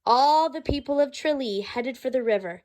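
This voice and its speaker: Higher-voiced woman reading a story aloud. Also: poor audio. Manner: expressive, teacherly, pausing to explain and ask questions. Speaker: storyteller reading a picture book aloud to children. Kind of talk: read-aloud storytelling with questions to young listeners.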